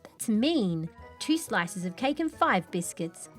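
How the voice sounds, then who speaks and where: A woman's voice: mid-range voice, very animated, takes it slowly, soft background music. Woman playing a greedy, cheeky big bad storybook wolf. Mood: greedy demanding.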